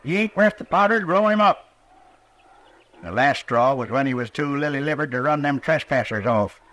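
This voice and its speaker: An actor playing a stubborn, grumbling old hog farmer with a rural Western American accent in an old-time radio western. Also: male voice, medium pitch, expressive, normal speed, a bit bright, close to an everyday voice. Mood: scornful. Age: older. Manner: folksy, grumbling, combative.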